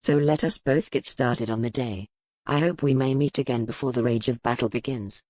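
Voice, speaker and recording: A seasoned medieval duke played by a woman speaks in a deep, lowered register. He is commanding yet gentle, a soldier and lover from a historical romance audiobook, recorded dry. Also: normal speed, dry recording. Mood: resolute. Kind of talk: dramatic audiobook character reading.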